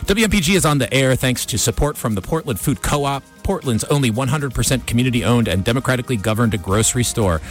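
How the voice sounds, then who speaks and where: A man's voice: medium pitch, expressive, fast talker, few pauses. A man hosting a radio show in a casual, rambling, easygoing way. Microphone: studio radio microphone. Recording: music behind the voice. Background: music.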